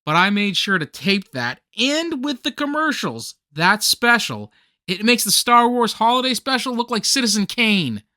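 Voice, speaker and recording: Man acting excitable, bright-voiced nerdy party host. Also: high voice, moderate pace, dry recording, clean studio sound, exaggerated voice. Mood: earnest, melodramatic. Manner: theatrical, geeky slacker banter. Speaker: actor in a radio comedy play. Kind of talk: scripted radio play comedy dialogue.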